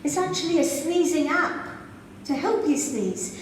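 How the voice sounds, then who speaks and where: A woman's voice: sits high, lively intonation, unhurried, slight background noise. A woman from Zambia doing a stand-up comedy set in a club, playful and giggling at her own jokes. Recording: echoey club sound from far off.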